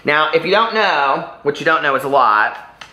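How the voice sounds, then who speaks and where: A man's voice: a little high, animated, normal speed, pushed and bright, echoey room, rough recording. A man chatting casually and animatedly as a book vlogger, rambling off on tangents.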